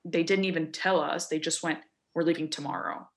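Young woman from Lebanon, low-voiced storyteller. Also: a little fast. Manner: animated, quoting people in voices. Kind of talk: casual storytelling.

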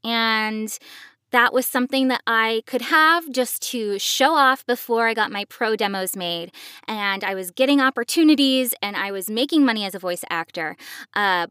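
Higher-pitched woman podcast host. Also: sharp tone, clean tone, dry recording, clean studio sound. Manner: explanatory, addressing parents. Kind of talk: conversational podcast advice.

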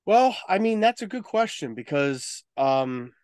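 A man's voice: sits high, big pitch swings, a little slow, darkish tone, clear, resonant tone, no echo, clean studio sound. A man chatting casually as a podcast co-host, informal and rambling, given to swearing. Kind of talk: casual chat about video game movies.

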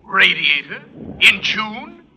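An actor playing a stern, suspicious middle-aged school official, speaking with emphatic authority in an old radio comedy. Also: male voice, sits high, slow pace, lo-fi sound. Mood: puzzled, suspicious. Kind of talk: 1940s-50s radio comedy acting. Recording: very noisy old radio recording.

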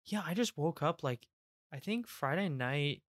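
A man's voice: on the high side, animated, measured pace, dry recording. A man co-hosting a podcast, chatting in a laid-back way with dry little quips. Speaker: podcast co-host. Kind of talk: casual chat.

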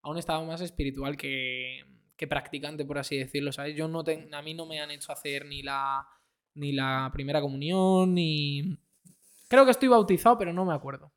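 A young man with a southern Madrid accent who talks in an easygoing, rambling way and fills his sentences with hesitations. His voice sits a little high and sounds smooth and resonant. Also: normal speed. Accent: southern Madrid Spanish. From born in Colombia, raised in Madrid. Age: 20s. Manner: easygoing, rambling, many fillers. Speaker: guest singer-songwriter. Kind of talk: casual podcast chat.

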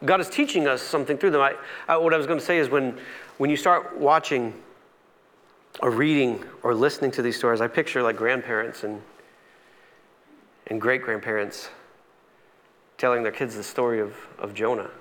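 A man's voice: mid-range voice, fairly bright tone. A man preaching as a pastor in a conversational storytelling style, acting out dialogue and repeating phrases for emphasis.

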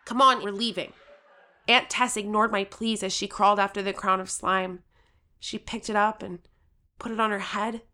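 A woman's voice: mid-range voice, fairly even intonation, moderate pace, sharp tone, natural voice. A woman playing an earnest, determined thirteen-year-old girl who tells her own story with urgency in an audio drama. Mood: alarmed, pleading. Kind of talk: first-person audio drama narration and acting.

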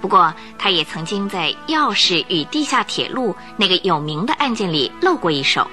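Bright, animated woman narrator. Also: mid-range voice, normal speed. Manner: animated, dramatic storytelling. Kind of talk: storytelling radio drama narration. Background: music bed.

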